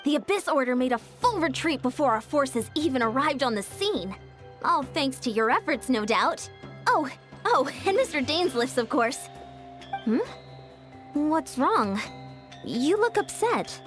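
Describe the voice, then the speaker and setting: Friendly young game heroine, high voice, lively swings, expressive, faint music behind. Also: female voice, measured pace, full character voice. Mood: concerned. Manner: expressive, effusive. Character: bright, friendly young woman, admiring ally. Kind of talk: English video game voice acting.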